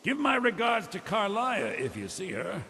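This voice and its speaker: An actor playing a shrewd, guarded underworld trader in a fantasy video game, with a dry, businesslike manner. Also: male voice, sits high, wildly swooping pitch, moderate pace, bright, forceful tone, full character voice. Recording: long reverb with faint ambient noise.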